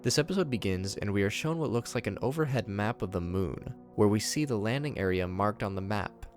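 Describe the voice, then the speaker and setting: Man, low voice, video host, scripted recap commentary, soft music behind. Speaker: host of a video series commenting on horror episodes.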